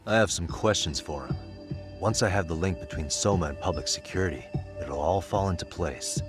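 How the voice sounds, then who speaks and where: A man playing a cool, focused detective who reasons in a measured way in an English game dub. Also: sits low, close to an everyday voice. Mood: determined. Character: cool, analytical male detective, focused and composed. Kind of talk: video game cutscene dialogue. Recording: music behind the voice.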